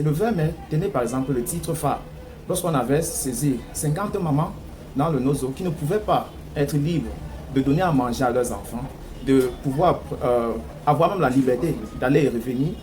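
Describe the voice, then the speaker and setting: A man's voice: mid-range voice, a little mellow. A man with a Cameroonian French accent giving political commentary in an emphatic way, full of rhetorical questioning. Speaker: news commentator. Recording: clear background noise.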